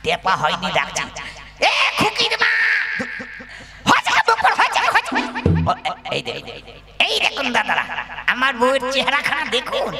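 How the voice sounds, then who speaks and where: A very high-pitched, gravelly village husband puppet in a folk comedy show. He is excitable and scolding, repeating himself and calling out to the audience, with music clearly behind him. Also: moderate pace, full character voice. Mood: boastful, excited. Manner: folksy, exclamatory, repetitive, addresses the audience.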